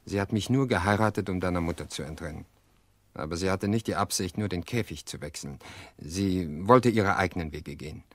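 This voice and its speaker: An actor playing an earnest, weary middle-aged widower in a radio play, speaking in a measured, reflective way with hesitant pauses. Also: male voice, sits low, no room sound, natural voice. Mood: resigned, reflective. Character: weary, earnest widower confessing to his sister-in-law. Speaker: actor in an audio drama.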